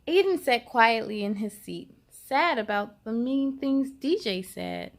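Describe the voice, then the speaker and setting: A woman hosting a children's story time, reading aloud expressively with drawn-out words and talking directly to young listeners. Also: on the high side, animated.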